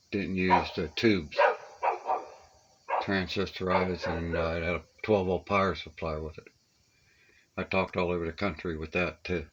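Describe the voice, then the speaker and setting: A man's voice: deep voice, a bit bright, highs cut off, a little hiss or hum. An older man chatting casually and reminiscing in a plainspoken, folksy way, with homespun grammar. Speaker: radio hobbyist showing his collection. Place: small upstairs room being stripped to studs.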